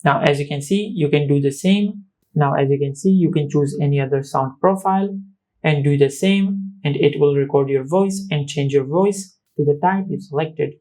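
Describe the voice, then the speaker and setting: A man's voice: on the high side, moderate pace, mellow. A man giving a step-by-step tech tutorial as a host, in repetitive, instructional phrasing.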